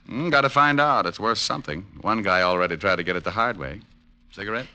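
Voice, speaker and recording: A man's voice: fairly low pitch, quick pace, pushed and bright. An actor playing a wisecracking male private detective in a 1950s radio drama, with dry banter. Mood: joking.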